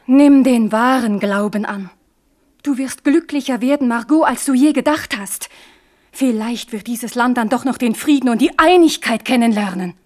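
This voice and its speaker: A stern, devout queen mother, played by a woman in a 1970s radio play. She speaks with solemn gravity, her voice sitting a little high, and she swells into fervent pleas. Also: moderate pace, natural voice. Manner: solemn, urgent, rising to fervent appeals.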